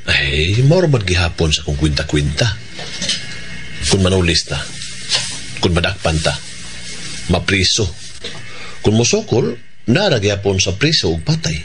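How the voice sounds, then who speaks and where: A man's voice: deep voice, big pitch swings, moderate pace, long pauses, noisy background. A man with a Cebuano Filipino accent playing a cocky, teasing criminal in a radio drama, bantering and swaggering. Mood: casual banter. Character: cocky, teasing male criminal, reckless about danger.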